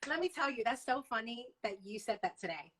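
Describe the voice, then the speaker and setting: A woman in her thirties from Hong Kong, hosting a casual video-call interview, warm and affirming in manner. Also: on the high side, expressive, a little fast, a little hiss or hum. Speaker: host. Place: home room.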